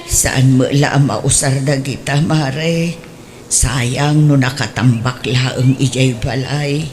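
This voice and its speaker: A scolding Ilocano mother in a radio play, unhurried and deliberate, with short outbursts. There is a faint hiss behind her voice. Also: extremely low pitch, steady tone, a little mellow. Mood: coaxing. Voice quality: exclaiming, scolding. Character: scolding middle-aged mother with grown children. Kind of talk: radio drama acting.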